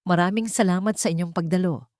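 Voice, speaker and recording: A woman's voice: on the low side, fast, no echo, studio quality, close to an everyday voice. A woman storyteller voicing a dignified, resolute mother and former housemaid, speaking firmly and steadily. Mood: confident, proud. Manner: firm, steady.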